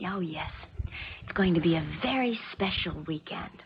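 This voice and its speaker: Actress as scheming young heiress for vintage radio drama: poised, clipped, lowish voice, faint noise. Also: female voice, expressive, moderate pace, lightly acted. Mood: breezy. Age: young adult. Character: spoiled, scheming, cool young rich daughter. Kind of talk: 1970s radio drama acting. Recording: muffled, hissy old radio broadcast.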